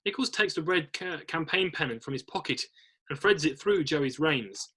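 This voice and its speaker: Bright, husky male script narrator. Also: fairly high pitch, lively intonation, normal speed. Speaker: narrator of a screenplay read-through. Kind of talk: scripted narration, reading stage directions.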